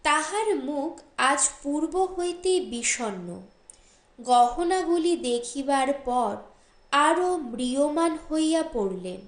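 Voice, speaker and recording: A woman narrating a literary short story as an audiobook, measured and expressive. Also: high voice. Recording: roomy echo.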